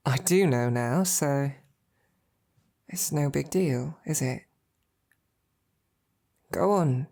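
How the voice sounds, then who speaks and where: A devoted lady knight, played by a woman in an intimate ASMR romance roleplay. Her voice is low, husky and breathy, often slipping into a whisper, with a gravelly fry. She speaks slowly and haltingly, tender and gently teasing. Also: exaggerated voice. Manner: soft, halting, affectionate.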